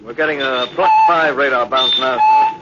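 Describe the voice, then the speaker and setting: An actor playing an eager, alert spaceship officer giving reports in a 1950s radio drama. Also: male voice, medium pitch, wildly swooping pitch, moderate pace. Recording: dull, very noisy old radio recording.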